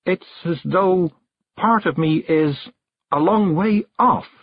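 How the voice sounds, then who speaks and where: Male reader as dazed storybook witch, a fretful woman, high, dreamy and halting. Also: big pitch swings, slightly rough, no echo. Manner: faint, halting, dreamy murmur. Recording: dull, lo-fi recording.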